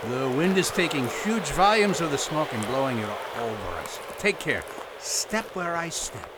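An actor playing a stern, protective mountain guide in a radio drama, urgent and commanding. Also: male voice, a little high, animated, normal speed, very noisy, lightly acted. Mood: cautioning.

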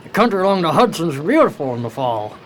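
A man's voice: on the high side, sing-song, fast, slight background noise. A man playing a folksy, genial husband in a radio drama, informal and hearty in manner.

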